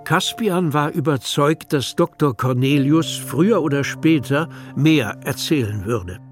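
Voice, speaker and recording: A man narrating a children's radio play as a scripted story. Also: on the high side. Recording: music under the voice.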